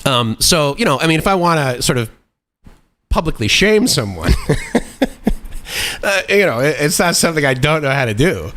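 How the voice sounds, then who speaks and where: A man's voice: a little high, very animated, moderate pace, long pauses, fairly bright tone. A man in his forties hosting a live stream, talking in a loose, rambling, self-deprecating way with lots of fillers and chuckles. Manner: loose, meandering with many fillers. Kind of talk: casual live-stream monologue.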